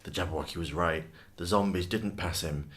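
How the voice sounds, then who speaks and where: A man's voice: deep voice, a little fast, fairly bright tone. A man giving dramatic, scripted story narration in a solemn, ominous manner.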